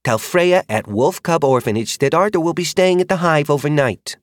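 A man putting on a flustered young woman who rides dragons, high and breathless, apologetic and quick to reassure, her voice thinned and shifted away from his own timbre. The recording is dry and close. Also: no echo, lightly acted. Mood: kind. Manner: anxious, apologetic, quickly talking herself calm. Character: young female dragon rider, flustered but kind. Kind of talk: audiobook character voice.

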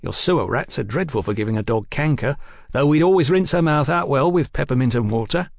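A man's voice: mid-range voice, expressive, gravelly, no room sound, clean studio sound, voice pushed up. A man voicing an eager, chatty young waiter in an old pub, in a storybook reading. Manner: eager, rapid working-class chatter.

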